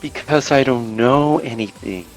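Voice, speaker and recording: A man's voice: mid-range voice, a little slow, soft background music, natural voice, low-quality recording. A man voicing a curt, prickly teenage anime girl with defensive retorts.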